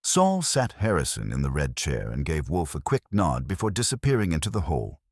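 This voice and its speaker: Very deep man narrating detective fiction. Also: huge pitch swings, normal speed, no echo, studio quality. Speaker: audiobook narrator. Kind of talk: scripted first-person detective story narration.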